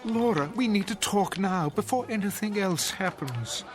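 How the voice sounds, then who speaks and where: An actor in a radio drama playing a bewildered older husband who pleads with desperate earnestness, with music behind him. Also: male voice, sits high, expressive, moderate pace. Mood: urgent. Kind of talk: audio drama acting.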